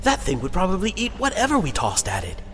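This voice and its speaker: A man playing an eager, impulsive adventurer in a fantasy audio drama, with a bright, keen manner. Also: high voice, wildly swooping pitch, fast talker, soft background music, exaggerated voice.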